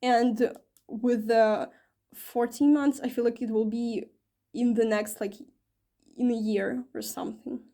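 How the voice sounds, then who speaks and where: Mellow-voiced woman reading tarot. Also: fairly high pitch, steady tone, measured pace. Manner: chatty, with many fillers and self-corrections. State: slightly strained voice from talking long. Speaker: tarot reader. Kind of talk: casual tarot reading to camera.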